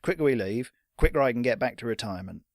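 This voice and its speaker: A man voicing a gruff, curt retired old fighter with a rough, lowered voice. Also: animated, fast talker, dry recording, clean studio sound, natural voice. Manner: curt and menacing.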